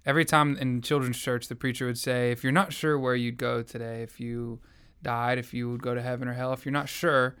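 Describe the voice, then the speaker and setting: Young guy telling his faith story, earnest, smooth voice, pretty even tone. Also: medium pitch, fairly quick, few pauses. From American South. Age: young adult. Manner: earnest, reflective. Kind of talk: personal testimony in conversation.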